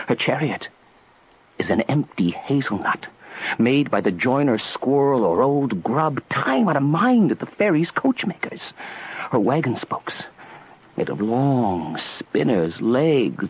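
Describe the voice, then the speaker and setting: Husky, wildly expressive Shakespearean young nobleman. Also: mid-range voice, heavily acted, poor audio. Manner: playful, declamatory, relishing each phrase. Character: witty, teasing young nobleman, mercurial and fanciful. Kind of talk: theatrical Shakespearean verse acting.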